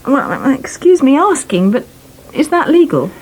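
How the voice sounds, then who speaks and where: An actress playing a brisk, practical and mildly sceptical farmer's wife in a British radio soap. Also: female voice, sits high, sing-song, a little mellow. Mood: practical, doubtful. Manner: brisk, no-nonsense, politely questioning. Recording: noisy old radio broadcast archive.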